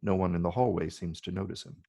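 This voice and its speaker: Low raspy guy reading stage directions for a sci-fi script, lots of fry. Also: steady tone, fast talker, mellow. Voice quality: gravelly, husky. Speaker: narrator. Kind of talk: scripted screenplay narration. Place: virtual call from separate location.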